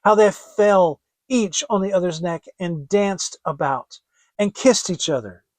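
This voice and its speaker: A man reading a fairy tale as a storyteller, in a theatrical, expressive scripted style. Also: sits high, unhurried, dry recording. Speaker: storyteller, audiobook reader.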